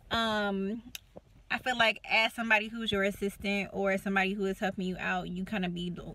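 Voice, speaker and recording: A woman's voice: mid-range voice, sharp tone. A young woman vlogging from her parked car on a handheld phone, chatty and slangy as she talks about assisting a client at an event. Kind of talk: casual vlog chat. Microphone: phone at arm's length.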